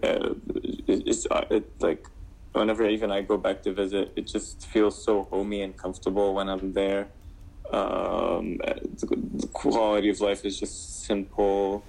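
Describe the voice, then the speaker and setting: A man's voice: low-pitched, flat delivery, unhurried, gravelly, a little mellow, poor audio. A young man from Syria answering interview questions casually, with many fillers and restarts. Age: 20s. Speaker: guest.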